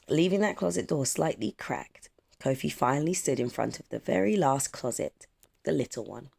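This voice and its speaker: Very creaky-voiced children's storyteller. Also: mid-range voice, darkish tone. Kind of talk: children's storybook read-aloud, scripted narration.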